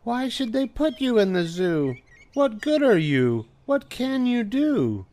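A man's voice: extremely high pitch, sing-song, takes it slowly, a little mellow, voice pushed up, heavily acted. An older man voicing curious, skeptical, then admiring young children in a picture book, in a singsong, exclaiming way. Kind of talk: picture book read-aloud.

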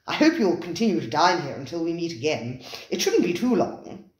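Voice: mid-range voice, very animated, brisk, roomy sound, lightly acted, low-quality recording. A reader voicing an anxious, earnest male diner.

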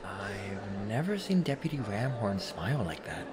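A man's voice: on the low side, big pitch swings, measured pace, a little mellow. A man voicing an eager, nervous young pony rookie, earnest and flustered, in an audio drama.

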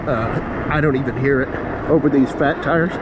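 A man's voice: medium pitch, normal speed. A man narrating casually while riding an electric bike through city streets at night, rambling with fillers and self-corrections. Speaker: e-bike vlogger. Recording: loud road and wind noise.

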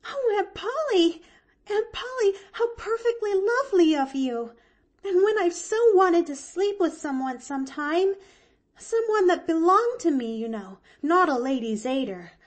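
A woman's voice: extremely high pitch, sing-song, a little slow, voice pushed up, heavily acted. A woman reading aloud, voicing an eager, chattering little girl who gushes breathlessly. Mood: rapturous. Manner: breathless, gushing, rapturous.

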